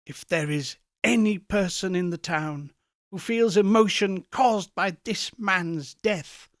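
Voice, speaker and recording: A man's voice: high-pitched, slow, dry recording, studio quality, voice pushed up. A man voicing a frightened, remorseful old miser who pleads in agony, in an audiobook. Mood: agonized, pleading. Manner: agonized, pleading, trembling earnestness. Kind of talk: audiobook character voice.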